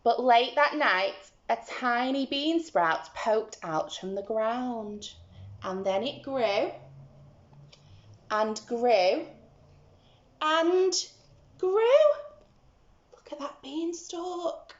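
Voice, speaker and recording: A woman teacher talking simply and deliberately to young children as she explains and reads a storybook aloud. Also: fairly high pitch. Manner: simple, deliberate, instructive, animated when reading. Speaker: early-years school teacher. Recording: roomy, slightly muffled home recording.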